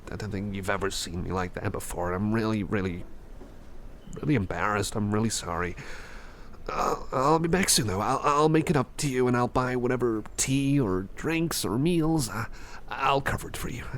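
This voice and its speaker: A man playing a flustered, apologetic boss in an ASMR roleplay, stammering and repeating himself in a creaky voice. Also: fairly low pitch, moderate pace, fairly bright tone, slight background noise. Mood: apologetic, embarrassed. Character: flustered, apologetic boss recovering from an embarrassing outburst.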